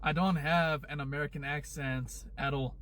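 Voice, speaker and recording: A man's voice: fairly high pitch, fairly even intonation, moderate pace, a bit bright. A man from South Africa speaking insistently and defensively. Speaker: creator of a reacted clip.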